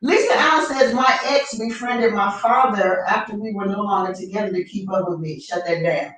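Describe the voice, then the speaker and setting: A woman's voice: medium pitch, normal speed, fairly bright tone, recorded from a distance, slight background noise. A woman hosting a casual talk radio show, reading listener comments aloud and greeting viewers in a playful way. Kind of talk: casual chat. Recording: echoey, heard from off mic.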